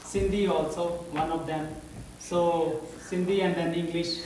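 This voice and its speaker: A man with a Pakistani accent, a Punjabi speaker talking in English, addressing a church congregation haltingly and searching for his words. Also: fairly high pitch, steady tone, darkish tone, a little hiss or hum. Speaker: visiting missionary speaker. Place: church hall. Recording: roomy hall audio from a distance.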